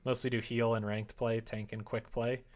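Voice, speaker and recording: A man with a mid-pitched voice hosts a casual livestream. He rambles in an easygoing way, hedging his thoughts and answering chat comments as he reads them out. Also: little pitch movement, normal speed. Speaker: live streamer. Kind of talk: casual chat with stream viewers, reading out their comments.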